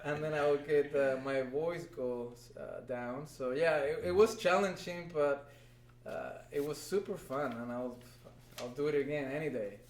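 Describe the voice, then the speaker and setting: A man's voice: on the high side, unhurried, slight background noise. First guy chatting easy and upbeat in an echoey room. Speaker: voice actor guest. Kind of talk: casual interview chat. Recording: roomy stream audio.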